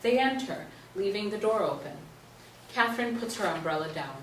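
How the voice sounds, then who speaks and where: A woman's voice: medium pitch, lively intonation, normal speed, recorded from a distance. Woman, narrator, scripted stage directions, roomy echo, faint background noise.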